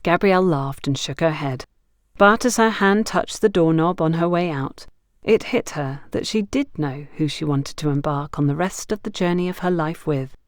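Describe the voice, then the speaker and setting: A woman's voice: low voice, normal speed, no room sound, studio quality. A woman reading scripted fiction as an audiobook narrator.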